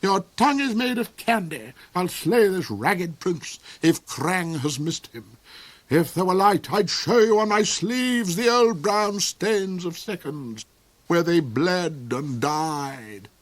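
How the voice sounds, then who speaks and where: A man's voice: high-pitched, lively intonation, slow, voice pushed up, heavily acted. A man voicing a cold, aggressive villain of a duke in a storybook reading, declaiming melodramatically with snarls and squeals. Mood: snarling contempt.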